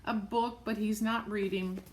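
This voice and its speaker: A woman reading a picture book aloud to young children, expressively, pausing to point things out in simple words and repeating phrases. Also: medium pitch, fairly even intonation, a little hiss or hum, rough recording. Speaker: storybook reader for young children.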